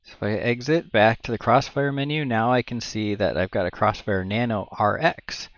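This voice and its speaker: A middle-aged man giving an informal step-by-step tech tutorial on a headset mic indoors, explaining haltingly as he thinks aloud. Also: fairly low pitch, no room sound, dull audio. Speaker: tutorial presenter. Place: indoor room at home. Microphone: headset boom microphone.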